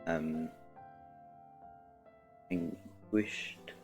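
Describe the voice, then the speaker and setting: Very deep, monotone male streamer. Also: extremely slow. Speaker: game streamer. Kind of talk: casual livestream commentary, talking back to game dialogue. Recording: game music behind the voice.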